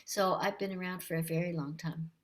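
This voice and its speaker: A woman with a light voice, talking warmly and unhurriedly as a guest in a casual interview. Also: lowish voice, steady tone. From Canada. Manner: warm, friendly, unhurried. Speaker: voice actress guest.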